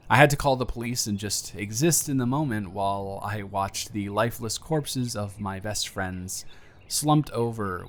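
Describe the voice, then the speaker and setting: A young man acting a nervous friend making an emotional confession in an intimate audio roleplay, rambling with halting emphasis. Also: mid-range voice, gravelly, fairly bright tone. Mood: grieving, subdued.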